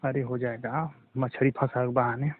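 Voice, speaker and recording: A man's voice: medium pitch, flat delivery, normal speed, no echo. Man acting teasing rustic lover, tinny phone line. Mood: playful, coaxing. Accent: Bhojpuri-accented Hindi. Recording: narrow tinny phone-call audio.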